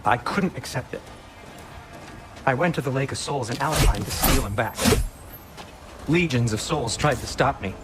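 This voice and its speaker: A man playing a nervous, fussy, neurotic dwarf blacksmith in a video game, very expressive. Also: mid-range voice, normal speed, long pauses, heavy background noise, close to an everyday voice. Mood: haunted, confessional. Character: fretful, squeamish dwarf blacksmith, loyal and neurotic. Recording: music under the voice.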